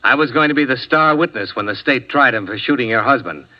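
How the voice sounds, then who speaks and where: An actor playing a blunt, wry insurance investigator who presses people tersely, in 1950s radio drama style. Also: male voice, mid-range voice, lively intonation, quick pace, natural voice. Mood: accusing. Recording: dull old radio recording.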